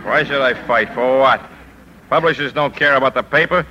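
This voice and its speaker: An actor playing a middle-aged, hard-driving, cynical newspaper editor in a 1950s radio drama, with a punchy, world-weary delivery. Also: male voice, mid-range voice, normal speed, pushed and bright, dull audio, slight background noise, close to an everyday voice. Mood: drunk, agitated.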